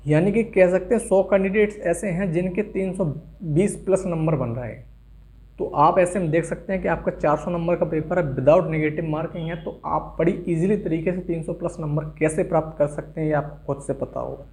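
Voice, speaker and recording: A man with a soft, mellow tone and a slightly high voice speaks as an online exam-preparation host. He explains things in an instructional way, addressing viewers directly. English terms are sprinkled through his explanations.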